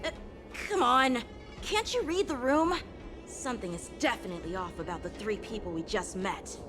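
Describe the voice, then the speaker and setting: A woman's voice: fairly high pitch, normal speed, over music, close to an everyday voice. A woman playing an eager, cocky boy swordsman in a video game, playful and easily flustered. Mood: flustered, defensive.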